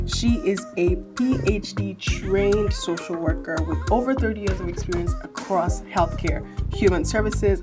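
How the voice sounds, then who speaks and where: A young adult woman hosting a podcast, upbeat and encouraging with her guest. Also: fairly low pitch. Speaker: podcast host. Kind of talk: podcast interview.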